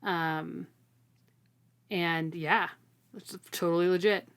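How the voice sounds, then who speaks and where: Low-voiced woman chatting casually. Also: steady tone, slow pace, a bit bright, clean tone. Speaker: podcast co-host. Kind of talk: casual book discussion chat.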